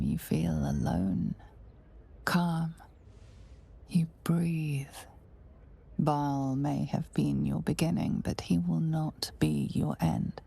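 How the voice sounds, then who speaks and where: A solemn, intimate fantasy game narrator. Her voice is low and heavily creaky, sinking toward a breathy whisper. She speaks in a slow, measured way, as if confiding in one listener. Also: dark tone, exaggerated voice. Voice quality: husky, breathy, often near whisper. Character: omniscient female narrator, hushed, solemn and intimate. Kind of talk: dramatic second-person game narration.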